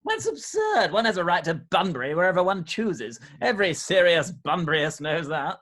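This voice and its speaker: Seeking a male actor for a glib dandy in period stage comedy, high and very animated in pitch. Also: moderate pace, exaggerated voice. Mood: smug, teasing. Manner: airy, glib, mock-serious. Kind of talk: rehearsed reading of a Victorian stage comedy.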